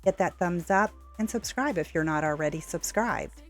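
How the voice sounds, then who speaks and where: A woman's voice: on the low side, normal speed, soft background music. A woman narrator reading a scripted sign-off for an online video. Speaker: narrator of channel outro.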